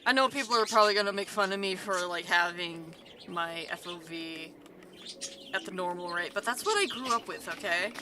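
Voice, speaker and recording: A woman streamer with a rough voice, chatting casually and rambling while she plays, swearing now and then, into a desk microphone. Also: fairly low pitch, normal speed, pushed and bright, a little hiss or hum. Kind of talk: casual live stream chat while gaming. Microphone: desk microphone with mute button. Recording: music playing under the voice. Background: background music.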